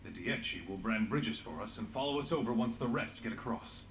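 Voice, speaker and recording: An actor playing a grave, world-weary resistance leader in a fantasy game, speaking in measured lines. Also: male voice, lowish voice, expressive, a bit bright, echoey room, slight background noise. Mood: resolute. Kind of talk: dramatic fantasy game voice acting.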